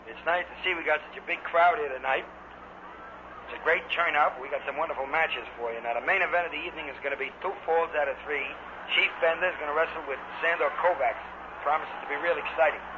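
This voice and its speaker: A man's voice: fairly high pitch, quick pace, lightly acted. A man playing an eager, chattering wrestling announcer on old-time radio. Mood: excited. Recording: muffled, noisy old radio recording.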